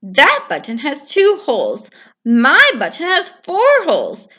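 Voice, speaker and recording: A woman's voice: high voice, huge pitch swings, bright, forceful tone, pitched above the performer's own voice, full character voice. A woman voicing a fussy, grumpy male toad who gets upset easily, in a storybook reading. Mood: indignant. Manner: whiny and indignant, drawn-out complaints.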